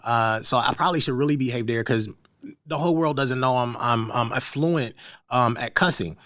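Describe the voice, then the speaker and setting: A man hosts a relaxed talk show. He jokes in loose slang and often restarts his sentences, and his voice sits at a middle pitch. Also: normal speed. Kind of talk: casual conversation.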